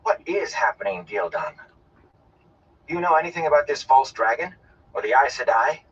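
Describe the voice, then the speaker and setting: Young villager, voiced by a male narrator: courteous, eager, unsure of himself, pitched up, for an audiobook, slightly distant sound. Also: big pitch swings, normal speed, a bit bright, lo-fi sound, a little hiss or hum. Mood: eager, curious. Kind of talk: audiobook character voice.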